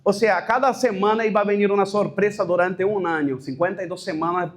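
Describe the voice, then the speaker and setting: High-voiced Brazilian-accented pastor telling his story. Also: fairly even intonation. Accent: Brazilian Portuguese. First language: Portuguese. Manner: storytelling, halting with restarts and mixed-in Portuguese words. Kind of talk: testimony at a leadership workshop.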